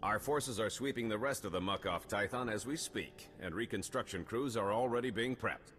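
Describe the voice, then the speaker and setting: An actor playing a formal, assured male colonel in a video game, speaking in a measured, official way. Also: lowish voice, a bit bright, close to an everyday voice. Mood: resolute. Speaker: military officer character. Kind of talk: video game cutscene acting. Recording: long hall reverb with music behind.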